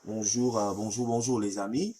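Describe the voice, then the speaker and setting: A man with a head cold, hosting a casual live stream in a halting way with many fillers. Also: on the low side, steady tone, mellow, a little hiss or hum.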